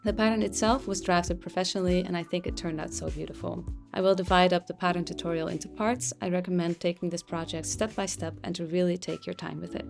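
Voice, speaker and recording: A woman whose first language is Dutch, a pattern designer calmly explaining a sewing project step by step with occasional fillers. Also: lowish voice, quick pace, gravelly, few pauses, music in the background. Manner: calm, explanatory, with frequent "uh" fillers. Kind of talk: sewing tutorial.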